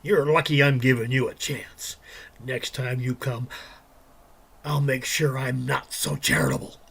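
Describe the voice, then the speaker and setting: An actor playing a mean, threatening man in a radio drama, stern and scornful, with a husky, gravelly voice. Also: medium pitch, animated, unhurried, a bit bright, heavily acted. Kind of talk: audio drama acting.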